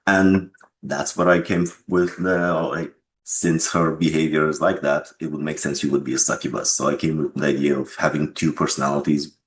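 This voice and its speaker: A man's voice: a bass voice, slight background noise, poor audio. A man being interviewed as a game developer, talking casually and reflectively about his creative work.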